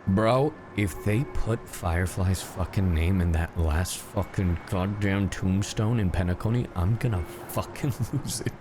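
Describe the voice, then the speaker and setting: A woman streamer reacting casually and excitably to a game, swearing freely in slangy talk. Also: a bass voice, measured pace, few pauses, noisy background. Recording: game music faintly behind. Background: game music and dialogue.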